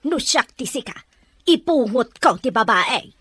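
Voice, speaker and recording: A woman's voice: on the high side, lively intonation, a little slow, fairly bright tone, breathy edge, full character voice. An actress playing a blunt, scolding mother in an audio drama, talking in a nagging way. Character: scolding middle-aged mother, blunt and pushy. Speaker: mother of the young wife. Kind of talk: Ilocano audio drama acting. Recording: dry close mic under music.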